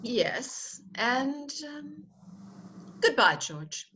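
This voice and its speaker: A woman's voice: high-pitched, very animated, extremely slow, sharp tone, heavily acted. A woman acting a proud, agitated and self-mocking upper-class bride in a radio play, theatrical and emotional. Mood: hurt, resolute. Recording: noticeable background noise.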